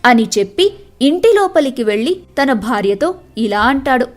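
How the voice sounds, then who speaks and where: High-voiced woman storyteller with music behind. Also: sing-song, normal speed. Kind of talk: scripted moral-tale narration.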